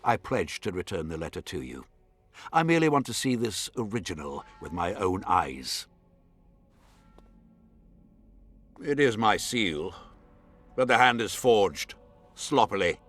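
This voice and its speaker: An actor playing a haughty, scheming nobleman in a video game, speaking in a formal, imperious and measured manner. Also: lowish voice, sing-song, a bit bright, soft background music. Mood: stern, indignant.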